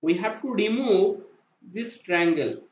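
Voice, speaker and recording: A man teaching a welding calculation on a digital whiteboard, slowly asking and answering his own questions. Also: high voice, expressive, darkish tone. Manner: slow, repetitive, asks and answers own questions. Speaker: welding inspection instructor. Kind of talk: whiteboard math tutorial. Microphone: voice-over to screen drawing. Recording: roomy, dull lo-fi voice-over.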